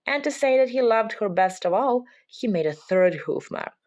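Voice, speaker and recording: A woman's voice: medium pitch, expressive, moderate pace, darkish tone. A woman reading a children's picture book aloud as a parent would, in scripted storybook narration.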